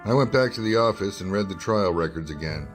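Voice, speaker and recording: Man playing a deep-voiced, wry investigative reporter. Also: animated, a little fast, faint music behind. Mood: reflective narration. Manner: probing, wry, hard-boiled. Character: wry, dogged male investigative newspaper reporter. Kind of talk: radio detective drama acting and first-person narration.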